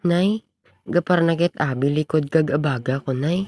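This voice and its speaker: A woman's voice: sits low, normal speed, a little mellow, no room sound. A young woman playing an earnest, lovestruck schoolboy in an amateur radio drama. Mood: weary. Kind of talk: amateur radio drama acting in Filipino regional language.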